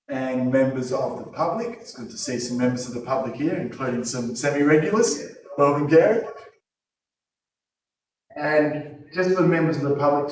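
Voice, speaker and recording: An Australian man chairing a local council meeting, opening it with formal items and casual joking asides in a loud voice. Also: mid-range voice. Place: council chamber. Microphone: not using the microphone. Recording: roomy, from far off.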